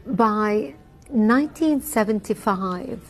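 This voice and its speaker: A woman, giving a measured, earnest personal testimony with frequent ums. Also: a little high, lively intonation, gravelly. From Lebanon. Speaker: interviewee.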